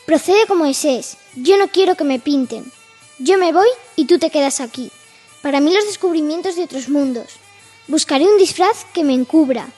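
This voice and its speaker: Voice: sits high, animated, moderate pace, a little mellow, soft background music. A performer playing a headstrong, curious little princess, bossy and eager, in a radionovela-style radio drama. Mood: eager, defiant.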